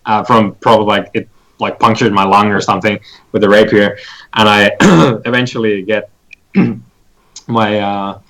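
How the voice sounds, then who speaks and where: A man with a fairly low voice plays a tabletop game, talking very slowly. He halts often as he works out what his character does, keeping it casual. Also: fairly even intonation, a bit bright, rough recording. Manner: hesitant, halting, thinking aloud. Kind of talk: casual in-character action description.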